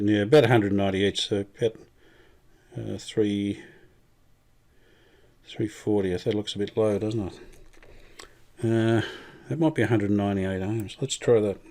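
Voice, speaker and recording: A middle-aged man, talking casually while he tests and repairs an old radio in his workshop, thinking aloud with many pauses and restarts. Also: on the low side, steady tone, a little mellow. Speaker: radio restorer, channel host. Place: home workshop bench.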